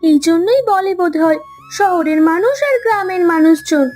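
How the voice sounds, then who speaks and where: A woman playing a kind, outspoken young city woman in a cartoon, caring and brisk. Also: very high voice, darkish tone, faint music behind. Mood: alarmed, indignant. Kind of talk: Bengali cartoon story acting.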